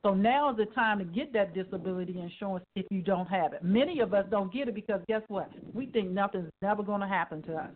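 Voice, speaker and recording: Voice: medium pitch, quick pace, few pauses, slight background noise. A talk show host urging listeners with timely reminders, pressing each point. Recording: tinny phone-line sound.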